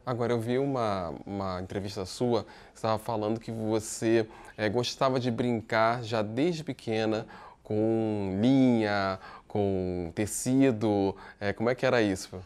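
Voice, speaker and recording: A man's voice: lowish voice, steady tone, measured pace, darkish tone, clean tone. A man interviewing a guest, prompting her with curious questions.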